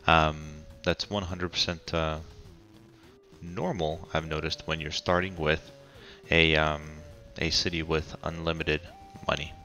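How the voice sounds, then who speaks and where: A man, raspy from a cold, giving relaxed, halting commentary over a city-building game and often pausing with ums. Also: extremely low pitch, soft background music. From Colorado. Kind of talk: casual gameplay commentary.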